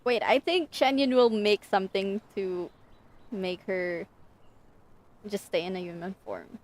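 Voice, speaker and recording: A woman's voice: medium pitch, measured pace. A woman livestreaming a game, reacting casually and emotively to the story. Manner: emotive, soft-hearted reacting.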